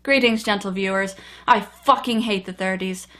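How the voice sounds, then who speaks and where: A woman's voice: mid-range voice, steady tone, normal speed, music in the background. Sardonic woman film reviewer. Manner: sardonic, swears casually.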